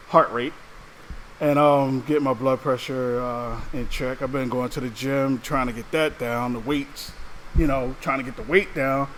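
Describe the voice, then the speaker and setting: A man's voice: mid-range voice, fairly even intonation, measured pace, fairly bright tone, slight background noise, low-quality recording. A man co-hosting a casual podcast who chats in an easygoing way and laughs between words. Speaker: podcast co-host.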